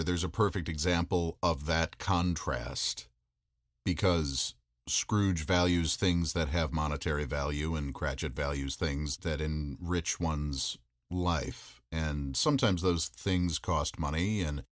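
A man speaking as a podcast guest, reflecting in a measured, thoughtful way with pauses mid-sentence. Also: sits low, dry recording, studio quality. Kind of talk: reflective interview talk.

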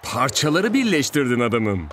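A man voicing an excitable, suspicious, street-smart young footballer in a dubbed cartoon, talking in a slangy, animated way. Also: mid-range voice, moderate pace. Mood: urgent, cocky.

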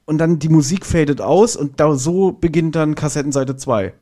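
A man's voice: a little high, normal speed, warm tone. A man in a casual podcast discussion, explaining his observations and thinking aloud. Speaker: podcast reviewer.

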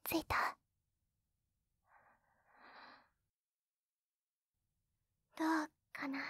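A woman playing a sweet, clingy young wife in an intimate binaural ASMR roleplay, speaking softly and breathily close to the mic. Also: very high-pitched, no echo, heavily acted. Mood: tender, relieved. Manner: hesitant, soft, breathy, clingy. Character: sweet, tipsy, jealous young wife doting on her husband. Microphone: close binaural dummy-head microphone.

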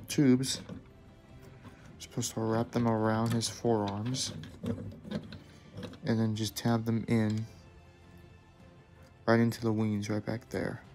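A young man casually showing off a toy he built, giving step-by-step instructions with small asides and self-corrections. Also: lowish voice. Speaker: toy builder reviewing his creation. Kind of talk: casual hands-on toy review narration. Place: basement room indoors. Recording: music playing in the background.

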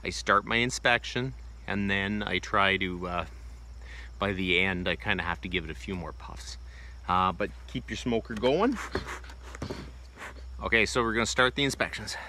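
A man in his forties talks casually through a hands-on demonstration, with a lowish but bright, forward voice. He meanders with fillers and restarts. He's recorded outdoors on a close phone camera, with faint crickets and insects humming behind him. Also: normal speed, pauses often, a little hiss or hum. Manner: plainspoken, loose, many fillers. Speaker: homesteading vlogger. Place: outdoors in a wooded bee yard. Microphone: phone camera held close.

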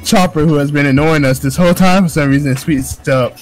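A man's voice: on the high side, brisk, soft background music. A man narrating a visual novel playthrough aloud in an amused, mock-dramatic way.

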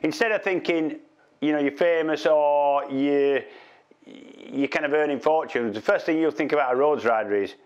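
Man, middle-aged, higher pitch, friendly interviewer, kitchen chat. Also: muffled recording. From northern England. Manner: friendly, agreeing, quick interjections. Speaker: interviewer, former racer. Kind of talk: casual chat. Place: home kitchen, indoors.

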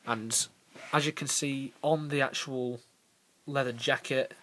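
A man hosting an informal review, speaking in a halting way with pauses in mid-sentence. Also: mid-range voice. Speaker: host of a toy review channel. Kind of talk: informal scripted-free review narration. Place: indoors, small carpeted room.

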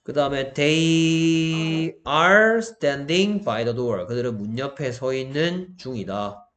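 A man's voice: medium pitch, lively intonation, slow, a bit bright. A man teaching English grammar, explaining step by step and often asking questions to check understanding. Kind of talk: explanatory lesson with questions to students.

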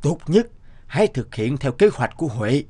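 A man voicing a grave village father with a measured, authoritative manner in a cartoon. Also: mid-range voice, very animated, warm tone, husky. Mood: firm, grave. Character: grave village father, healer plotting cautiously. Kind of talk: Vietnamese cartoon dubbing.

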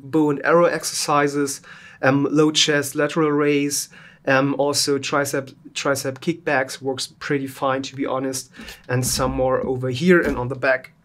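Man, flat casual unboxing talk. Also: medium pitch. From Germany. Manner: informal, loose non-native phrasing with fillers. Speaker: tech channel host. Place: small home studio.

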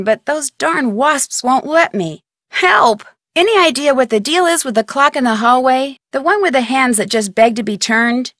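A woman's voice: sits high, fairly bright tone, dry recording. A woman voicing a young amateur detective in a studio video game, talking in a chatty, curious way and often asking for hints. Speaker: game protagonist.

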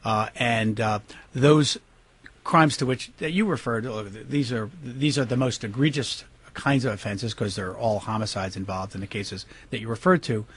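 Husky American man, halting but emphatic. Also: mid-range voice, fairly bright tone. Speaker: interview guest, county prosecutor. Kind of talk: unscripted radio interview answers.

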